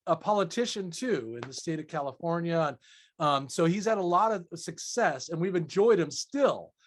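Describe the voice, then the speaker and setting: A man's voice: a little high, lively intonation, moderate pace, a little hiss or hum. A man hosting a conversational interview in an effusive, affirming, wordy manner. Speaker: interviewer, host.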